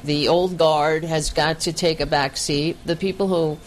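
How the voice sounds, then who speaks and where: Low-voiced, blunt woman hosting a chat show. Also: steady tone, unhurried, a little hiss or hum. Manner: blunt, chatty, swears casually. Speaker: podcast host. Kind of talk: casual livestream chat. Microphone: video call.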